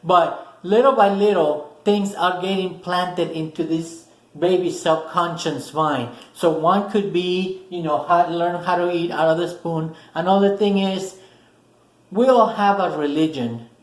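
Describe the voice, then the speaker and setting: A man with a Spanish accent and a fairly high voice talks through a self-help lesson in short, patient phrases, often repeating himself. His first language is Spanish. The room around him is echoey, so his voice rings a little. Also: steady tone, measured pace. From Spanish-speaking country. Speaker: self-help video host. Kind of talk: explanatory talk to camera.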